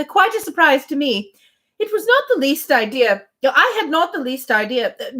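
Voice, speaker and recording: A woman's voice: high voice, fairly bright tone, pitched above the performer's own voice. A woman reading a classic novel aloud, voicing a middle-aged spinster who chatters on in a breathless, anxiously grateful, repetitive ramble.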